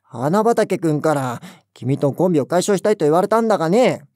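A man's voice: fairly high pitch, animated, fairly quick, darkish tone, dry recording, studio quality, natural voice. An actor playing a stern middle-aged male section manager who scolds his employee in a paternal way. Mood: reproachful. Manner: scolding, paternal boss talk.

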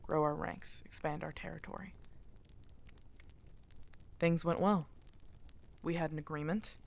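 A woman playing a guilt-ridden, protective exiled werewolf alpha in an intimate ASMR audio drama, halting and emotional, often slipping toward a breathy whisper. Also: sits low, steady tone. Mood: grieving, subdued.

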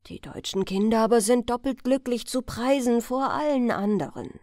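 A woman's voice: a little high, normal speed, a little mellow, no echo, clean studio sound, close to an everyday voice. A woman voicing a kindly, motherly fairy spirit, reverent and solemn, in a storybook reading. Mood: reverent, moved. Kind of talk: fairy-tale audiobook character reading.

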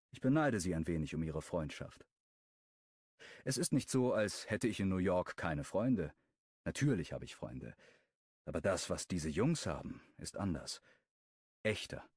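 A man narrating a romance audiobook in the first person, with an expressive storytelling delivery. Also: sits low, husky, no room sound, clean studio sound.

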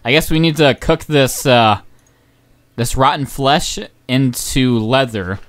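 Young male game commentator, smooth voice, hoarse, rambling and excitable, many ums. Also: mid-range voice, slow pace. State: voice going hoarse. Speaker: gaming channel host. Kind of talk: casual gameplay commentary.

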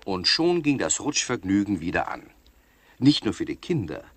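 An actor as the storyteller of a children's radio play, addressing young listeners directly. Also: male voice, fairly low pitch, big pitch swings, normal speed, breathy edge, dry recording. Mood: engaged storytelling. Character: storyteller narrating a children's tale. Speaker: narrator.

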